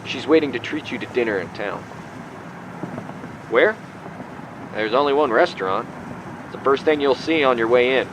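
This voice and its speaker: An actor playing a cocky, needling boss who briefs a young intern in a conversational radio drama. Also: male voice, medium pitch, moderate pace, long pauses, background noise, lightly acted. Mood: brisk, instructing. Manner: folksy, teasing, needling.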